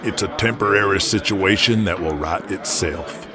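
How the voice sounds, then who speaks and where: A man voicing a stubborn, gruff wrestling promoter in an audio drama, terse, folksy and blunt. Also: sits low, moderate pace, a crowd in the background, pitched below the performer's own voice. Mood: dismissive.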